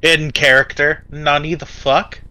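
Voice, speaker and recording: A man's voice: a little high, flat delivery, a little slow, pushed and bright, dry recording. A man playing in a tabletop game, joking and swearing in casual chat. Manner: joking and swearing, giggles at his own puns. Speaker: player.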